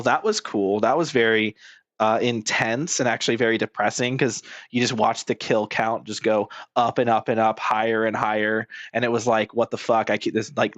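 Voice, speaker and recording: A man's voice: mid-range voice, fairly bright tone, no room sound. A man doing casual, rambling game commentary as a streamer, blunt and informal with plenty of swearing.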